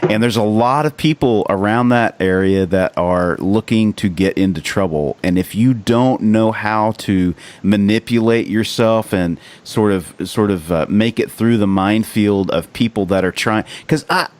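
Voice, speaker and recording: American podcast host guy, lower voice, earnest rambly chat w some swearing. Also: unhurried, hardly any pauses, a little mellow. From rural United States. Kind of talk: casual chat.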